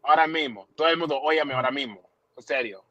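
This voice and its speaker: A man's voice: mid-range voice, fast, a loud crowd. A man in casual group chat, brash and teasing, who keeps calling everyone bro. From Dominican Republic. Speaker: participant.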